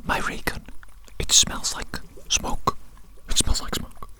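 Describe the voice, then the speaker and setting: Evil doctor for ASMR roleplay, acted by a performer: fully whispered, doting, soothing, repetitive, eccentric. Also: lightly acted. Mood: fretful. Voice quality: breathy, unvoiced whisper. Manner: repeats phrases slowly and soothingly, doting.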